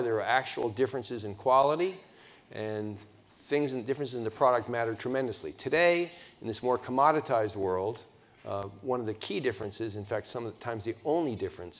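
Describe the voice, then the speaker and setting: A man in his sixties giving a conference keynote from a podium in a large hotel ballroom on a lapel mic, engaging and putting questions to the audience, with frequent restarts. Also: medium pitch. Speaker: keynote speaker.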